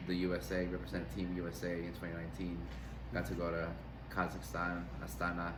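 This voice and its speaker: A man's voice: low voice, monotone, a little fast. A young man, a wrestling coach, answering interview questions casually, rambling with fillers and restarts. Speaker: grappling athlete and wrestling coach. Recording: echoey room with background noise.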